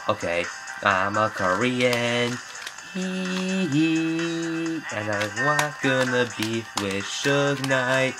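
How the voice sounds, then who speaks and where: A young man in his late teens sings casually along to a comic parody song, chanting its repeated hooks, recorded on a laptop webcam in a small bedroom. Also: medium pitch. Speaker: amateur singer. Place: small bedroom with bright yellow walls. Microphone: laptop webcam at close range. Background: loud backing music.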